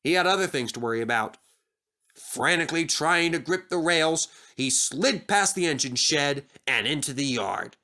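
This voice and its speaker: Bright, animated male storyteller. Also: fairly high pitch, moderate pace. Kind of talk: scripted children's story narration.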